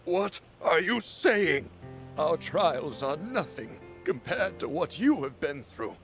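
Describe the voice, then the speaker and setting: A man's voice: on the high side, big pitch swings, takes it slowly, a bit bright, soft background music, heavily acted. A man voicing a loyal, courteous old retainer in a video game, formal and deferential. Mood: reassuring.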